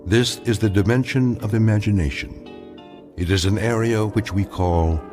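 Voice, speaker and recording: A man's voice: on the low side, a little slow, music in the background. A man narrating a solemn, dramatic scripted introduction to a radio drama. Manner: solemn, portentous. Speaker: series narrator.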